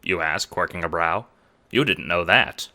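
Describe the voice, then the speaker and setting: Deep-voiced man narrating an audio drama. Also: pushed and bright. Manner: dramatic, deliberate. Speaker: narrator and producer.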